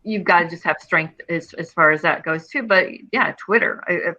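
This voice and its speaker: A middle-aged woman talking as an interview guest in an earnest, expressive way, often restarting her phrases. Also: fairly low pitch, moderate pace, muffled recording. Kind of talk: casual interview conversation.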